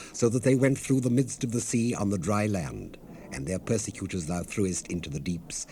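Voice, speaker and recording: A low, mellow male voice on a faintly hissy old cassette. He reads scripture as solemn drama, grave and declamatory, with measured phrasing that rises and falls widely. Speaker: narrator of a dramatized Bible recording. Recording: noisy 1970s cassette rip. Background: ambient sound effects, tape noise.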